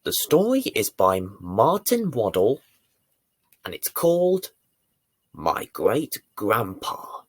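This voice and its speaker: A man reading a bedtime story to young children as its presenter, with deliberate, theatrical emphasis. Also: mid-range voice, huge pitch swings, breathy edge, no echo. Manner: deliberate, theatrical emphasis with dramatic swells and hushes.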